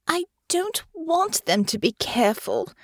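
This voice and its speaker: A woman voicing an anxious, wounded young noblewoman, high and plaintive. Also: sing-song, a little slow, no room sound, studio quality, heavily acted. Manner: plaintive, rising, tearful pleading.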